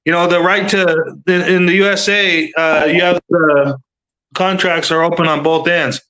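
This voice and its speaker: A man's voice: on the high side, fairly even intonation, moderate pace, pushed and bright, low-quality recording. A man in his thirties hosting a livestream panel, rambling in casual chat and swearing freely.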